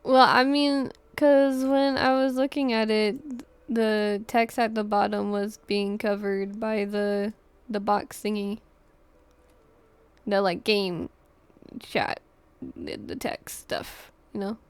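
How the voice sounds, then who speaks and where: A woman livestreaming a game, chatting casually in halting, rambling self-talk. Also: mid-range voice, fairly even intonation, smooth.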